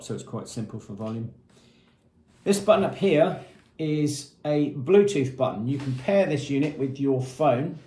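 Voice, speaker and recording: Mellow middle-aged man in an echoey room. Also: medium pitch. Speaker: product presenter for a marine shop. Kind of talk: product demonstration, explanatory. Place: small indoor room with painted block walls.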